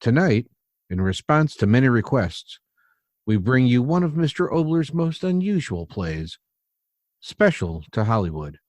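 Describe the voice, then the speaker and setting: An actor playing a formal, measured network announcer from 1940s radio. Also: male voice, medium pitch, sing-song, a little mellow, no room sound. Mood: formal, presenting.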